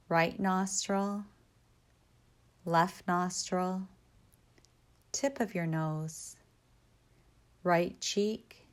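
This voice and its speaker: A woman guiding a yoga nidra meditation in a calm, measured, instructional way, with short cues and pauses. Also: on the low side, clear, resonant tone.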